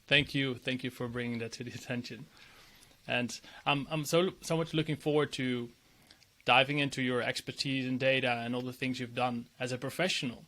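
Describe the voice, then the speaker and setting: A man hosting an interview podcast. His voice sits at an ordinary middle pitch, and he talks in an upbeat, chatty way, laughing easily and trailing off softly at the ends of his questions. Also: little pitch movement, moderate pace. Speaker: podcast host. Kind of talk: casual interview with a scripted intro.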